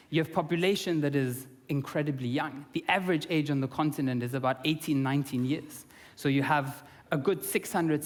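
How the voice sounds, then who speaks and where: A young man from Austria giving an earnest conference talk, with many fillers and restarts. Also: a little high. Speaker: conference speaker. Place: conference hall. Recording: long reverb of a conference hall.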